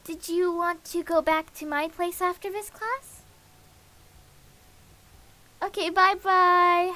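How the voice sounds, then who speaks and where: Girl streamer w a really high bright voice, reads visual novel lines out loud, takes her time, kinda playful. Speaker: game streamer. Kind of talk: reading visual novel dialogue aloud on a casual livestream.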